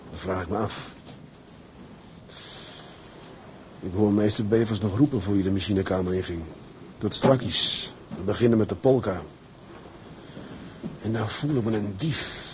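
An actor playing a resolute, burdened sea captain in a radio drama. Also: male voice, lowish voice, moderate pace, darkish tone, a little hiss or hum, natural voice, low-quality recording. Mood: guilt-ridden, brooding. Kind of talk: radio drama acting with letter-reading monologues.

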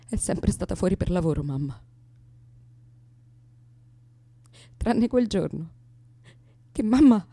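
A woman's voice: on the low side, warm tone, breathy edge, no echo, heavily acted. Wounded woman, wildly swinging delivery. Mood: wistful, aching. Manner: theatrical, abrupt swings, rhetorical questions. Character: wounded, intense, unstable woman baring her soul. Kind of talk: theatrical radio drama monologue.

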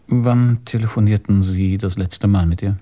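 A man playing a measured, probing police inspector in a radio drama, husky and deliberate in his speech. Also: on the low side, fairly even intonation, dark tone, close to an everyday voice. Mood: probing, dry. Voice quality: husky, gravelly.

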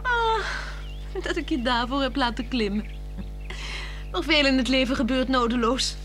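An actress playing a playful, flirtatious married woman in a radio play, teasing and coaxing, moving between hushed words and exclamations. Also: sits high, animated, moderate pace. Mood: playful, excited. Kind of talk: 1960s Dutch radio play acting. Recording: 1960s radio drama with music.

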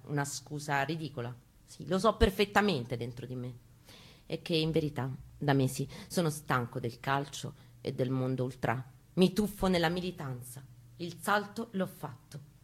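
A woman's voice: low voice, breathy edge. A woman reading a first-person narrative aloud in an intense, theatrical, emphatic way. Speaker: stage reader and narrator. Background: music accompaniment, guitar.

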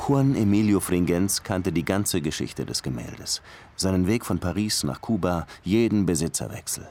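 An actor playing a hard-boiled, short-tempered Cuban police detective who also narrates a German radio crime drama in a dry, sardonic way. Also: male voice, low-pitched, lively intonation, normal speed, darkish tone, a little hiss or hum. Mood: dry, reflective. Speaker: detective and first-person narrator.

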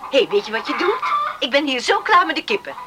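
An actress playing a brisk, strict but caring farm mother in a radio play. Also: sits high, sing-song, heavy background noise. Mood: welcoming.

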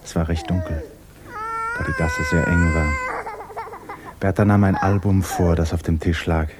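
Man, very low pitch, scripted literary narration, radio play narrator, noisy vintage recording. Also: moderate pace.

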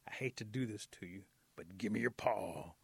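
A man voicing a stern, tough wolf with a slow, low, menacing delivery in a storybook tale. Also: vocal fry, breathy edge, no room sound, exaggerated voice. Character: stern, slow-talking tough wolf who follows orders.

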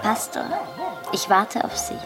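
An actress playing a coquettish, commanding young baroness, teasing and genteel, in a radio play. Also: female voice, a little slow, over loud music, close to an everyday voice. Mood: coquettish, insistent.

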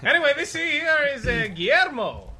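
A man's voice: very high voice, huge pitch swings, normal speed, bright, forceful tone, voice pushed up, heavily acted. A man voicing a pompous male guard captain and amateur bard in a slipping Irish-Scottish brogue, calling everyone lad. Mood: jovial.

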